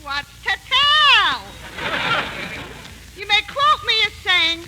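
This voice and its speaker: Devoted dim fangirl, piercingly high, brash, animated, 1940s radio comedy, noisy old broadcast. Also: slow, full character voice. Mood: brash, earnest. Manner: brash, wisecracking. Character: brash, dim, devoted young female crooner fan.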